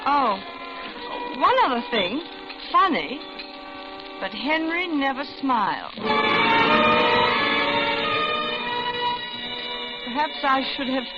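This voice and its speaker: A lonely, genteel American widow of middle age, confiding her story with dramatic pacing. It is old radio suspense acting. The recording is dull and dated, with music clearly behind her. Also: fairly high pitch. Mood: uneasy, reflective. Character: lonely older widowed office worker recounting her doom. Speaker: narrator and lead character.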